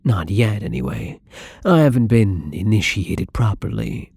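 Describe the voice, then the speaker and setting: Man acting a husky, breathy young vampire. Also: on the low side, expressive, unhurried, no room sound, studio quality, heavily acted. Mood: subdued, wistful. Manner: soft, hesitant, breathy, often dropping to whispers. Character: newly turned young male vampire, apologetic, timid, hungry. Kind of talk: intimate ASMR roleplay acting.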